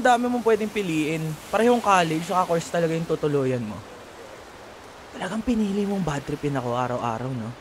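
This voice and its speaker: A man acting a young Filipino college guy with a fairly high voice that swings freely up and down. He plays the sarcastic school rival in an ASMR roleplay, taunting and bantering casually over a light wash of rain. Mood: exasperated. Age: young adult. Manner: taunting, playful, colloquial banter. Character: teasing, sarcastic male college student, bickering school rival. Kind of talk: ASMR boyfriend roleplay, one-sided dialogue acting. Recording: steady rain noise behind voice. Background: falling rain.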